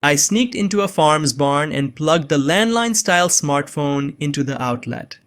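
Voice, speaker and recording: A man playing a nerdy, anxious young man on the run, narrating a suspense story in a measured, earnest way. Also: fairly high pitch, expressive, fairly bright tone. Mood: tense, measured. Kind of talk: first-person scripted narration of suspense fiction. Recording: music behind the voice.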